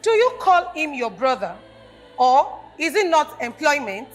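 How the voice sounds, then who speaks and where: A self-important Nigerian woman played by a stage actress who bickers and challenges others with pointed questions. Her voice is high and swings widely. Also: very animated, measured pace, soft background music. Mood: sceptical. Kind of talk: stage play acting.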